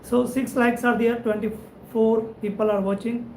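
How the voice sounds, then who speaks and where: A man's voice: very high-pitched, little pitch movement, a little slow, darkish tone. A man from India with an Indian English accent, hosting a live stream as a networking instructor. He talks in a friendly way, thanks viewers again and again, and often adds "right?" to his sentences. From India (Madhubani, Bihar). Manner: friendly, repetitive thanks, frequent "right?" tag. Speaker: networking instructor and channel host. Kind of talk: live-stream chat reading viewer comments. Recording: roomy echo, faint background noise.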